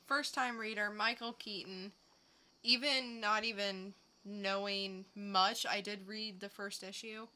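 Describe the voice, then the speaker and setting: A woman's voice: mid-range voice, fairly even intonation, takes it slowly, sharp tone. A woman co-hosting a podcast about comic books, speaking in an informal review-show style. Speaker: podcast co-host. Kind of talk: casual chat and scripted comic previews.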